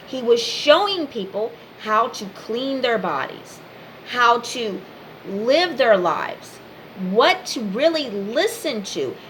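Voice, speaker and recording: A woman's voice: fairly high pitch, fairly bright tone, a little hiss or hum, poor audio. A woman giving an impassioned, informal monologue straight to the listener, speaking in emphatic, repetitive short phrases.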